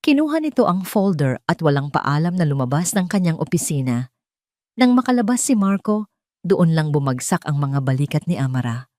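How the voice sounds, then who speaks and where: A female storyteller reads a scripted drama. Her voice sits a little low, and the recording is close and dry, with no room sound. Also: warm tone, no room sound. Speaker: narrator.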